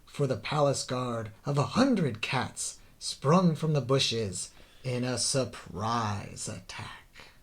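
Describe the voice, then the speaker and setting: A man reads a rhyming children's tale as a storybook narrator. His delivery is theatrical and deliberate, with a slow pace and drawn-out phrase endings. The pitch swings widely as he goes. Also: expressive. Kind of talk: dramatic scripted children's story narration in rhyme.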